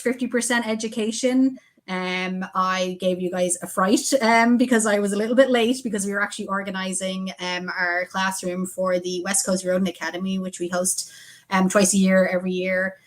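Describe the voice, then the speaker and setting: A woman's voice: mid-range voice, fairly bright tone. A woman from Ireland with an Irish accent, a guest researcher talking frankly and with jokes, full of ums. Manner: frank, joking, many ums. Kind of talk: casual interview talk.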